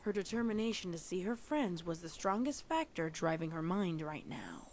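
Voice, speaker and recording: A woman's voice: on the low side, big pitch swings, normal speed, a little mellow, slight background noise. A woman narrating a story in a scripted fan fiction reading.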